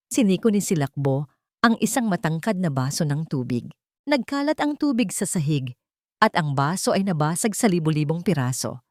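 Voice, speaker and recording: A woman's voice: on the low side, dry recording, studio quality. A woman with a Filipino accent narrating a scripted dramatic story.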